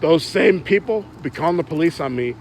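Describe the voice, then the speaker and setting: A man's voice: a little high, expressive, brisk, darkish tone, slight background noise. A man hosting a street interview outdoors at night, persuasive and lecturing. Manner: persuasive, lecturing, laughs often. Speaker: YouTube channel host interviewing people.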